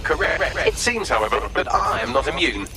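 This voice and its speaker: A man's voice: medium pitch, big pitch swings, brisk, over music. A man voicing a witty, snide AI assistant with a British accent, articulate and sardonic, in a video game. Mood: wry, resigned. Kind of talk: scripted video game voice acting.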